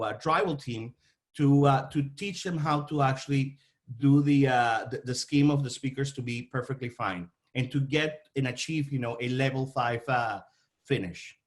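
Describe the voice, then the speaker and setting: A man answering interview questions about his trade in a halting, repetitive way, searching for his words as he explains. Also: mid-range voice. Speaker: audio-visual installation company representative.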